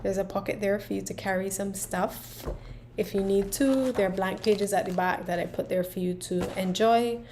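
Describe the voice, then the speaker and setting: A woman's voice: medium pitch, moderate pace, a little hiss or hum. A woman from Barbados with a Barbadian accent, casually walking viewers through her planner with lots of "um" and "right?". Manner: relaxed, many fillers and "right?" tags. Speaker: planner creator and presenter. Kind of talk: casual explanatory walkthrough.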